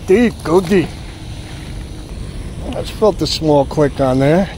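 A man's voice: fairly high pitch, lively intonation, slow. A man, chatting to viewers and exclaiming at each find as he magnet fishes at a city pond, recorded on a chest-mounted action camera. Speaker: magnet fishing vlogger. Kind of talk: casual running commentary while magnet fishing. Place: outdoors at a city pond edge. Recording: outdoor camera with loud wind and water noise.

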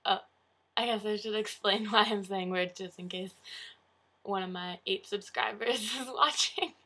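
A young woman from southern Ontario talks in a chatty, giggly way, her Canadian accent plain in every word. Her voice is bright and a little sharp, sitting at an ordinary pitch for a woman. She sounds close to a webcam mic in a small bedroom. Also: fairly even intonation, measured pace. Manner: chatty, lots of "like" fillers, giggly. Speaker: vlogger. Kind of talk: casual accent tag video. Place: bedroom, indoors. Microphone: webcam at close range.

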